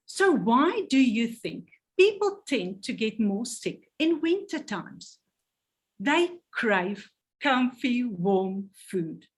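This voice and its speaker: Slow, lively-pitched woman presenting. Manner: careful, stumbles over technical words. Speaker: product presenter. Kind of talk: reading a health product presentation.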